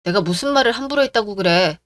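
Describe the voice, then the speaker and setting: A woman voicing a calm, firm young woman who argues in a reasoned way in a story radio drama. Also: mid-range voice, fast, no room sound, lightly acted.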